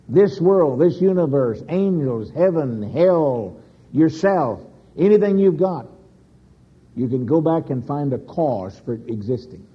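A man preaching a sermon slowly and emphatically, with dramatic pauses and sudden rises in pitch. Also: breathy edge. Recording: dull lo-fi recording.

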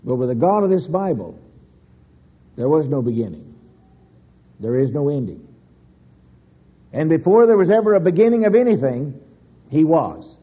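A man preaching a sermon slowly and emphatically, with dramatic pauses and sudden rises in pitch. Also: sing-song, breathy edge. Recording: dull lo-fi recording.

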